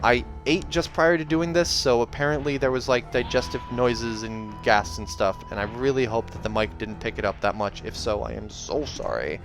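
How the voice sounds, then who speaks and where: A male host reads fiction aloud in an expressive narrator's style and slips into casual chat. His pitch is average for a man. Soundtrack music plays behind him. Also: moderate pace, few pauses. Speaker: reader and channel host.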